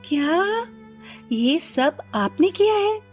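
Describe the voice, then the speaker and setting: A woman's voice: very high-pitched, sing-song, slow, faint music behind, full character voice. A woman playing an honest, hardworking food-cart wife in a Hindi cartoon story, earnest and respectful. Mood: shocked, angry.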